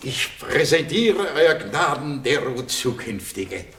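A man's voice: medium pitch, big pitch swings, slow pace, fairly bright tone. An actor playing an obsequious, formal newly rich father of the bride in a radio play. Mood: fawning. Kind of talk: 1960s radio play acting. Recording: distant, echoey, hissy old recording.

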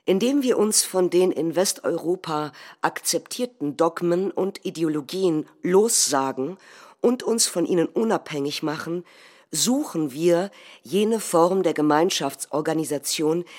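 A woman acting as a calculating nationalist head of government, delivering a measured, rhetorical political speech in a theatrical reading. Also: fairly low pitch. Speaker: stage actor.

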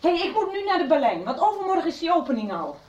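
A woman playing a busy, evasive mother in a radio soap, sounding hurried and distracted. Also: very high-pitched, sing-song, darkish tone, dull audio. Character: busy, flustered, evasive mother organising an exhibition. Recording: roomy, distant, noisy radio recording.

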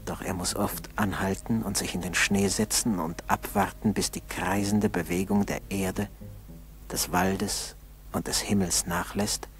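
A man narrating a scripted 1960s German school radio drama in a measured way with dramatic pauses. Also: low voice, vocal fry, soft background music. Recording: noisy old 1960s radio recording.

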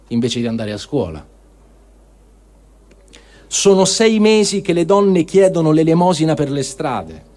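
A man giving a solemn dramatic reading of written testimony, in a measured, repeating cadence with deliberate pauses. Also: on the high side, warm tone.

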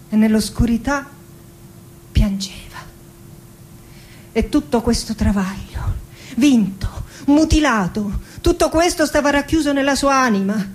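An actress playing a melancholic middle-aged woman poet recalling her family in a slow, deliberate theatrical monologue with dramatic pauses. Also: sits high.

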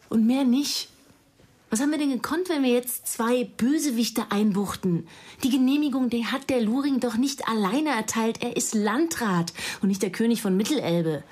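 A woman's voice: a little high, a little slow, darkish tone, slightly rough. A woman playing a dogged, insistent police investigator in a naturalistic radio crime drama. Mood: frustrated, insistent. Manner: insistent, earnest.

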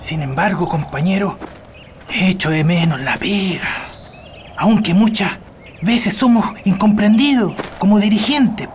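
A man's voice: high voice, a little slow. Resigned male prisoner, grave union man, radio play with faint noise behind. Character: grave imprisoned union leader among comrades. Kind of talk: radio drama acting.

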